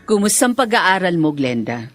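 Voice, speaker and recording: An actress playing a defiant, manipulative wife in a Filipino radio drama, haughty and domineering, with a Filipino accent. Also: female voice, fairly low pitch, big pitch swings, normal speed, no room sound, faint music behind. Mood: sweetly inquisitive. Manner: haughty, scolding.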